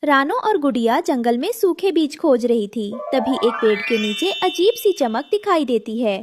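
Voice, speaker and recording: A woman's voice: high-pitched, very animated, moderate pace, over music. A woman narrator reading a scripted children's cartoon fable in a storybook style.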